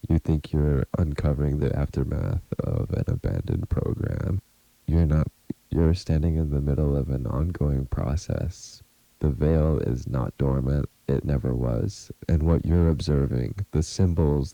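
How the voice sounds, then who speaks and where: A man playing a confident, articulate, slightly self-important independent researcher recording a gravelly-voiced message for an audio drama. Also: extremely low pitch, mellow, dry recording, low-quality recording. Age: middle-aged or younger adult.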